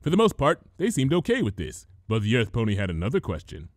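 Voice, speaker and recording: A man narrating a comic fantasy story as an expressive reader. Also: mid-range voice, quick pace, no room sound, clean studio sound. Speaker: fan fiction reader.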